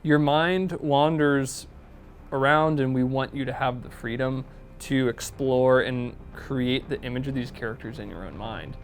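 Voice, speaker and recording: A man's voice: mid-range voice, a little slow, a little hiss or hum. A man who creates audio drama, talking in an interview in an earnest, enthusiastic and reflective way.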